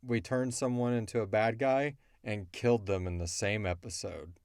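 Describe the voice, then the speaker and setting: A man with a fairly low voice talks as a show host. His delivery is informal and animated, with emphatic points in a casual chat. The sound is dry, with little room on it. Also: moderate pace, clean tone, no room sound. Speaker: host and creator of an audio drama podcast. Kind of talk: casual chat answering listener questions.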